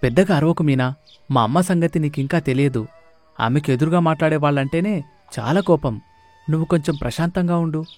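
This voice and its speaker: A man's voice: a little high, brisk, darkish tone, natural voice. A man voicing a cautious thirty-year-old husband in a cartoon moral story, reassuring and explanatory. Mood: placating. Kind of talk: animated moral story dialogue.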